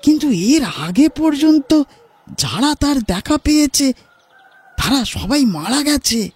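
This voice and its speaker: An actor voicing an awestruck, anxious villager in an audio horror drama, telling of troubles in a halting, dramatic way. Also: high voice, very animated, darkish tone, no echo, full character voice. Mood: awestruck, astonished.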